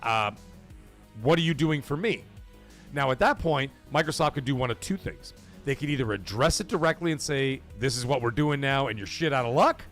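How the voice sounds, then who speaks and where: A man hosting a casual live commentary stream, talking to his chat in an animated, profane way full of rhetorical questions. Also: mid-range voice, fairly quick, soft background music. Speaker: livestream host.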